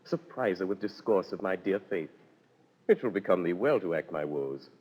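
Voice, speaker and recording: An actor playing a lovesick, commanding duke in grand, declamatory 1930s radio Shakespeare style. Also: male voice, low voice, lively intonation, moderate pace, husky. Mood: ardent. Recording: muffled 1930s radio, long reverb.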